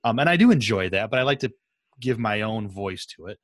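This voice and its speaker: A man's voice: lowish voice, lively intonation, brisk, no echo, clean studio sound. A man hosting a podcast interview in a casual, conversational style. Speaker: podcast host.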